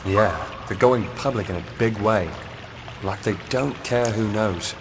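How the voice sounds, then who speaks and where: Game ally: low, measured, concerned voice over noisy watery ambience. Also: male voice. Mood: serious. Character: calm, concerned male ally of a vampire hunter. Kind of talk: video game acting.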